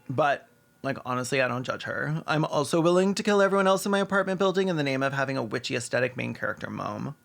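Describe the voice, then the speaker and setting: A man's voice: mid-range voice, creaky, a bit bright. A man narrating scripted comedic commentary in a wry, sarcastic manner. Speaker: video essay narrator.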